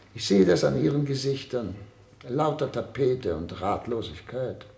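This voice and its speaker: An imperious, haunted ruler in a radio drama, brooding and agitated. He speaks very slowly, with wide swings of pitch, in a roomy echo. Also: creaky, warm tone, heavily acted. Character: anxious, sleepless, brooding male head of state. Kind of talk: German radio play acting.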